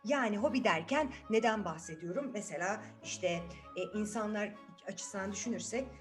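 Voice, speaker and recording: A woman's voice: mid-range voice, animated, normal speed, over music. A woman telling a children's fairytale in a chatty way, asking rhetorical questions and repeating words for effect. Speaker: children's storyteller.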